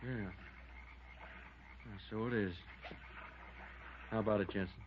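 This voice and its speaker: A man's voice: lowish voice, steady tone, slow pace. Folksy radio lawman, noisy muffled recording. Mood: measured. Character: folksy, level-headed small-town lawman, male peacemaker. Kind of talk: 1950s radio drama acting. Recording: dull, clearly noisy vintage radio recording.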